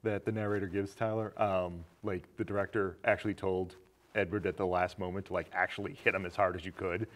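A man's voice: deep voice, level tone, brisk, fairly bright tone. A man in his thirties with an American accent, discussing a film casually as a show co-host. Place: small indoor studio set with curtain.

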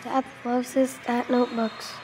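Game role: playful, hesitant boy of ten, voiced by a woman, high-pitched and slow-paced. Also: flat delivery, background noise, lightly acted. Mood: playful musing. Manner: hesitant, playful, muttering to himself. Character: imaginative, dutiful ten-year-old boy playing alone. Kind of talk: video game voice acting.

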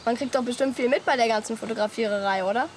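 A woman's voice: fairly high pitch, quick pace, a little hiss or hum, lightly acted. An actress playing a cool, bored, teasing teenage girl in a radio play, dry and sardonic. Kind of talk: German radio play acting.

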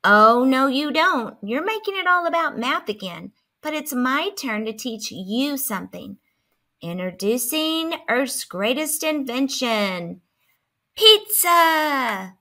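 Woman acting storybook skeptic, fairly high voice, grumpy, dramatic, complaining, exclamatory. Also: animated, slow pace, sharp tone, exaggerated voice. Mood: exasperated. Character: grumpy, dramatic math skeptic who loves treats.

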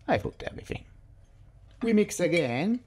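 A man's voice: high-pitched, sing-song, normal speed, darkish tone, a little hiss or hum. A middle-aged Italian man with an Italian accent, chatting casually in non-native English as he demonstrates a recipe in his home kitchen. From Italy. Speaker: cooking show host.

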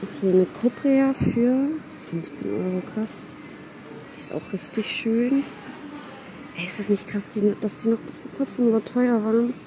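A young woman vlogging casually and excitedly while browsing plants in a large garden center, sometimes dropping to a whisper. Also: a little high, measured pace, background noise. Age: young adult. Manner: excitable, chatty, often whispering asides. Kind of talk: casual shop-with-me vlog. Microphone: handheld phone camera.

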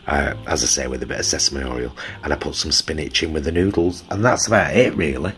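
A man's voice: extremely low pitch, fairly quick, a bit bright. A middle-aged man with a Northern English accent, chatting casually and affectionately as he hosts a homely food vlog. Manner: affectionate, homely, addresses viewers as loves. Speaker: vlog host.

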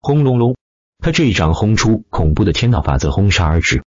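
Male audiobook narrator, low and husky, theatrical scripted delivery, close dry sound. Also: big pitch swings, brisk, dry recording, dull audio. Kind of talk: dramatic scripted web-novel narration.

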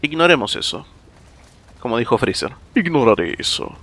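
A man's voice: medium pitch, big pitch swings, moderate pace, fairly bright tone. A man with an Argentine accent chatting and laughing through a casual game commentary, rambling in asides. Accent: Argentine Spanish. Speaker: gaming streamer. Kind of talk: casual let's-play commentary.

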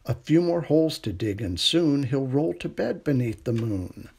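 A middle-aged man reading a children's picture book aloud in a gentle, unhurried bedtime manner, with a husky, creaky voice. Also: medium pitch, sing-song. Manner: gentle, unhurried bedtime delivery with hushed refrains. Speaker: storytime reader.

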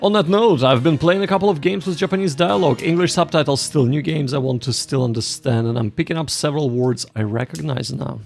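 A man hosting a livestream, chatting casually and explaining things to viewers in a halting way, often repeating himself. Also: a little high, gravelly, soft background music. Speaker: livestream host of a Japanese study session.

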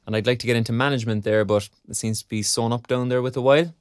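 A man's voice: medium pitch, steady tone, racing, no room sound, studio quality, lightly acted. A male actor playing a preoccupied young graduate in an audio drama, with an Irish accent and a hesitant, self-deprecating manner. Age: young adult. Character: wry, preoccupied young arts graduate job-hunting. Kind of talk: naturalistic audio drama acting.

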